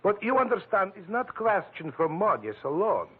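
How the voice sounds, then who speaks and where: A hulking, aggrieved Russian in an old radio detective play. His husky, highish voice swoops as he labours through broken English. The sound is narrow and vintage, like a phone line. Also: very animated, moderate pace, full character voice. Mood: plaintive, wronged. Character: huge, heavy foreign man in a body cast, complaining. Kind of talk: 1940s radio detective drama acting. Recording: muffled, narrow-band 1940s radio recording.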